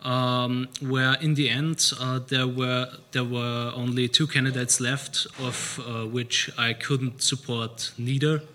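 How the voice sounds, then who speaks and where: A man in the audience of a lecture, commenting hesitantly with many pauses and fillers. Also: medium pitch, little pitch movement, bright, forceful tone, slight background noise. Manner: hesitant, many fillers and restarts, slightly non-native phrasing. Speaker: audience member asking a question. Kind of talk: halting spoken comment at a lecture Q&A.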